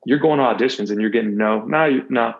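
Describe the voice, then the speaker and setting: A man's voice: mid-range voice, quick pace, gravelly, lo-fi sound. A man speaking candidly as an interview guest, telling personal stories conversationally, repeating phrases for emphasis and voicing quotes. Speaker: podcast guest, former athlete and coach.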